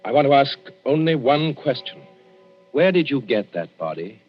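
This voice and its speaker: An actor playing an educated doctor, guilty and nervous, stammering yet haughty, in a radio horror drama. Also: male voice, medium pitch, big pitch swings, moderate pace. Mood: stern. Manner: nervous stammer, clipped formal commands. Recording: dull lo-fi radio recording with music.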